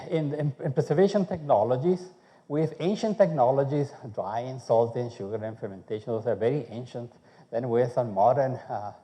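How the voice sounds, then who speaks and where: A man from Chile, speaking English, gives a conference talk on food research. His voice is very dark and mellow, and he keeps checking in with the audience by asking "okay?". The sound carries a long reverb from the hall. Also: medium pitch. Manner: conversational, checks in with frequent "okay?", restarts.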